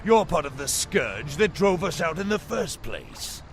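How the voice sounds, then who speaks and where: Game commander role: blustering, distrustful human soldier, creaky edge, slow weighty delivery. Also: male voice, a little high, big pitch swings, background noise. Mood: suspicious. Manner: blustering, deliberate. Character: proud, suspicious human warlord, male, gruff and bellicose. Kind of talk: video game cutscene acting. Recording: game audio under clear music.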